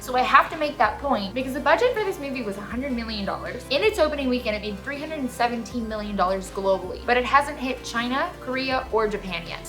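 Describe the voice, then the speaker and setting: A young American woman hosting a casual movie review, gushing and emphatic, with slangy phrasing. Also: fairly high pitch. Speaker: movie podcast host.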